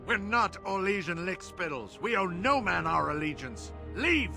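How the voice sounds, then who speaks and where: An actor playing a hot-tempered, defiant rebel soldier in a fantasy game, making combative declarations. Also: male voice, sits high, sing-song, normal speed, vocal fry, over music, heavily acted. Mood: defiant, angry. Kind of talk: fantasy video game acting.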